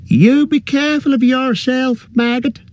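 A man voicing a farmer's wife, a protective middle-aged woman, in a high scolding voice in an audiobook. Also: fairly even intonation, a little slow, darkish tone, no room sound. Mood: fretful.

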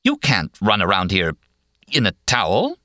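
Man voicing a sharp, domineering head nurse. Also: on the low side, wildly swooping pitch, normal speed, dry recording, studio quality, full character voice. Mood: outraged. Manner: bossy, scolding like a teacher. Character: domineering, easily outraged female head nurse.

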